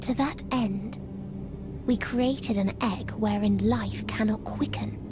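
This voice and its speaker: Slow, solemn otherworldly villainess played by a woman. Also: medium pitch, natural voice. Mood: bleak, solemn. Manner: solemn, formal, deliberate phrasing. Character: grave, nihilistic otherworldly female villain. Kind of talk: dramatic video game voice acting. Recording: music behind the voice.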